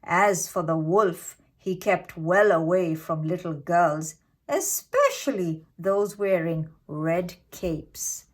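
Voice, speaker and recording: A woman reading a scripted fairytale for children in an expressive, dramatic, deliberate way. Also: lowish voice. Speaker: children's storyteller.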